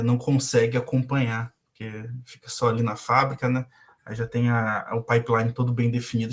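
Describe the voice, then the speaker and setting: Man, young adult, Brazilian accent, casual online lecture, video call mic, hesitant with many fillers. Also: medium pitch. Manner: hesitant, frequent filler "é" and restarts. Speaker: guest lecturer.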